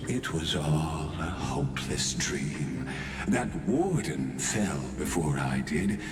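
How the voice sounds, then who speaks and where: Super deep ominous villain guy, gloating and theatrical, swoopy, echoey video game thing. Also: heavily acted. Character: ominous, grandiose male villain, imprisoned genius mocking fate. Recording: echoey voice under loud game music.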